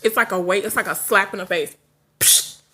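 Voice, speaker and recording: A woman's voice: medium pitch, brisk, sharp tone. A woman in her forties chatting straight to her viewers, open and direct. She laughs easily and wanders into side thoughts. She sounds like she has only just woken up. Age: 40s. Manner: frank, chatty, laughs often, trails off into asides. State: just woke up. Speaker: vlogger. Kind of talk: casual chat to camera.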